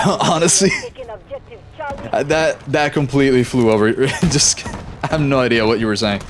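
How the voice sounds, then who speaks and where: A young man live-streaming a shooter game, chatting casually with his viewers and reacting quickly during fights. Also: medium pitch, background noise. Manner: easygoing, chatty, reads chat aloud, quick reactions mid-fight. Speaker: gaming streamer. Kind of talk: casual live-stream chat while playing.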